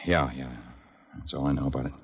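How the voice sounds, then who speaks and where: An actor playing a guarded, hard-boiled air-freight man, gruff and slangy, in an old-time radio drama. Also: a bass voice, fairly even intonation, a little fast, full character voice. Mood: bitter, evasive. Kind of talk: 1940s-50s American radio drama acting. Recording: dull, noisy old radio recording with clicks.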